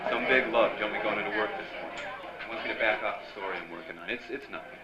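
An actor playing an earnest, dogged newspaper reporter in an old-time radio drama. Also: male voice, lowish voice, fast, close to an everyday voice. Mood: weary. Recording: dull, noisy live stage recording.

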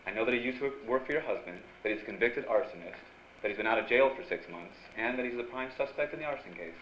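An American actor playing a breezy, hardboiled newspaper reporter in an old-time radio noir drama. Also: male voice, mid-range voice, expressive, fast, close to an everyday voice. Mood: probing. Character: wisecracking, persistent male newspaper reporter, noir hero. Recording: dull lo-fi live stage recording, clear noise.

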